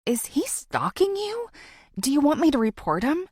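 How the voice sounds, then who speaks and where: A woman voicing a brisk, concerned female rideshare driver in an audiobook. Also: high voice, wildly swooping pitch, a little mellow, no echo, studio quality, voice pushed up. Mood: alarmed, concerned.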